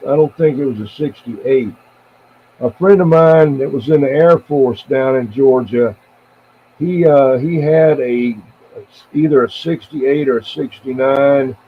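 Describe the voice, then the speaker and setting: A man's voice: mid-range voice, measured pace. Older American man, tinny radio sound. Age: 70s. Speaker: CB radio operator and live streamer. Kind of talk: casual rambling radio chat. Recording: tinny band-limited radio audio with faint noise.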